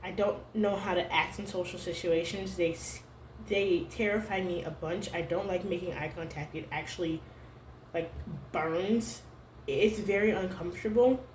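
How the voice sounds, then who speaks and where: A young adult woman vlogging to camera at home, talking in a halting, searching way full of "like" fillers. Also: fairly low pitch, a little hiss or hum. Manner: hesitant, halting, heavy use of "like" fillers. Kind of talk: personal confessional vlog. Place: room at home. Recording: roomy home echo.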